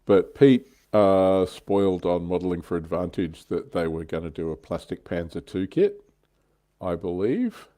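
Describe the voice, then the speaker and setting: A man's voice: deep voice, lively intonation, measured pace, mellow. A man hosting a livestream in casual hobby chat, with a wry, offhand manner. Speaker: livestream host.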